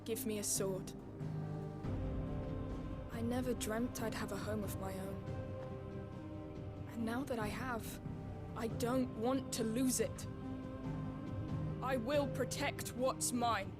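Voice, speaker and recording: Slow-speaking, determined villager woman. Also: on the high side, steady tone. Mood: trembling resolve. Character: humble villager woman finding courage, determined. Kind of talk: dramatic video game acting. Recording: loud music underneath.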